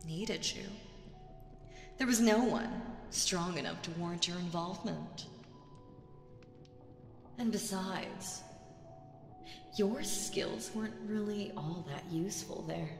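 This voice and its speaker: A woman voicing a proud, mocking demon queen in an ASMR audio roleplay, theatrical and condescending with dramatic pauses. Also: on the low side. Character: proud, mocking female demon queen, vengeful, regal villain. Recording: roomy with long reverb.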